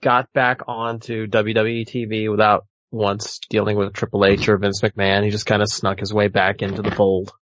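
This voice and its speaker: A man co-hosting a casual talk show, dry and deadpan. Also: on the low side, moderate pace, few pauses, no echo. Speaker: podcast co-host. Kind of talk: casual chat. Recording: dull lo-fi dry sound.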